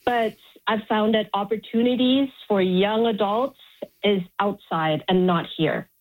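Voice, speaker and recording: A woman's voice: mid-range voice, a little slow. A middle-aged woman calling a radio phone-in, speaking frankly and emphatically off the cuff and repeating words for stress. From Montreal, Canada. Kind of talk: spontaneous opinionated phone-in talk. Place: on a phone call to a radio show. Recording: muffled narrow-band phone line.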